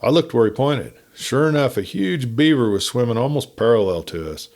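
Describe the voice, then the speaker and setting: A man's voice: mid-range voice, expressive, normal speed, a little mellow. A man reading a folksy first-person story in a campfire-tale narration style.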